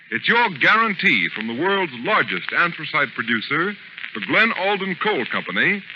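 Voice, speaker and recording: A man announcing in 1930s radio style, reading scripted copy with formal, emphatic delivery. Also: on the high side. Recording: dull, hissy vintage radio recording.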